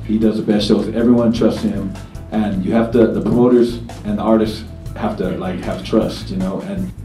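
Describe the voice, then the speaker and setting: A man from New Zealand, a reggae band singer in his thirties, answering panel questions in a relaxed, rambling way with many fillers, speaking into a table mic in a meeting room. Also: fairly low pitch, moderate pace, roomy sound, recorded from a distance, faint music behind.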